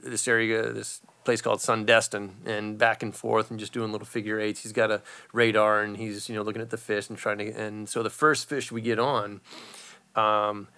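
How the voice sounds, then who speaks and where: A middle-aged man with a bright, forceful voice chats casually. He rambles through a story with plenty of ums and restarts, growing animated as it goes. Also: fairly low pitch, normal speed, clear, resonant tone. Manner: rambling, animated, many fillers.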